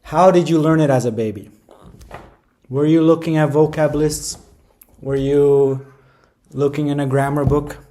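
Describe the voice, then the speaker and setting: A man's voice: a little high, steady tone, unhurried, pauses often, warm tone. Casual, rambling man giving advice. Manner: informal, emphatic, repeats phrases for stress. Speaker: language-learning video creator.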